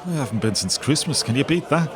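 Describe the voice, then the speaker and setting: An actor playing a restless, sardonic young Irish rebel soldier in an audio play, with a theatrical manner and an Irish accent. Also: male voice, medium pitch, animated, fast, crowd noise, natural voice. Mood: teasing. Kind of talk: audio drama acting.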